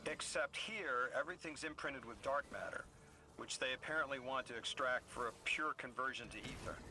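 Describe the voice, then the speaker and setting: A man's voice: medium pitch, normal speed, bright, forceful tone, low-quality recording. A man playing an earnest, mild-mannered guide in a video game, speaking thoughtfully and a little hesitantly. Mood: explaining. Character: earnest, mild male radio guide, sympathetic to aliens. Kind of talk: scripted video game dialogue. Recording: clear background noise of game ambience.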